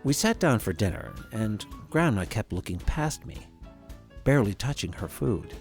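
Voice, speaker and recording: A man narrating a scripted horror story in the first person as a haunted grandson, with measured, dramatic delivery and deliberate pauses. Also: fairly low pitch, big pitch swings. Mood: somber, uneasy. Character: haunted grown grandson recounting a frightening visit. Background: music.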